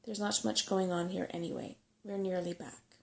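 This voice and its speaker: A woman voicing an earnest, level-headed boy in a soft-spoken storybook reading. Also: lowish voice, fairly even intonation, moderate pace, creaky, noisy background. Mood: matter-of-fact.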